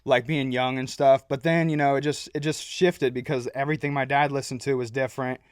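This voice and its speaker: A young adult man, a guest rapper, talking in a relaxed, rambling way with frequent "like"s and easy laughter. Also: medium pitch, fairly quick, clean tone, no echo, studio quality. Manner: laid-back, laughs often, trails off with "like". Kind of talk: casual chat.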